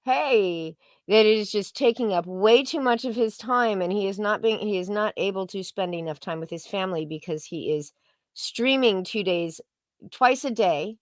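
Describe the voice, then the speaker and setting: A woman with a mid-pitched voice co-hosts a casual livestream. She comments bluntly and sarcastically and swears freely, recorded close and dry. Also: normal speed, no room sound. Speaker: co-host of a livestream.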